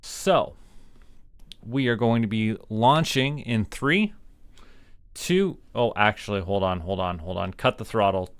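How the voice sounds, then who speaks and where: Casting: male Canadian game streamer, lowish voice, very slow casual delivery, playful mock-official manner. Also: clean tone, no room sound. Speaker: livestream gamer host. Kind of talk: casual live gameplay commentary.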